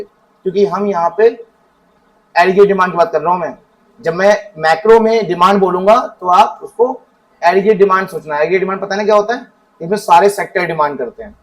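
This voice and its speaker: Male teacher, high voice, quizzes the class and answers himself. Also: poor audio. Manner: asks students questions and answers them himself; reads definitions from the book slowly. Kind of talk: classroom economics lesson.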